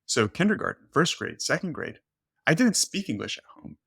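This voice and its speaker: A man's voice: medium pitch, big pitch swings, creaky, a bit bright, husky, dry recording, clean studio sound. A man whose first language is Spanish, talking casually as a podcast host and often checking in with "right?". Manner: fast, filler-heavy, says "right?" often. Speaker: podcast host, nutrition coach.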